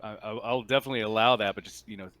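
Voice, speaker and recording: Low-voiced man running a tabletop game. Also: fairly even intonation, a bit bright, no echo. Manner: halting, repeats and rephrases. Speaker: game master. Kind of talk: casual tabletop game refereeing.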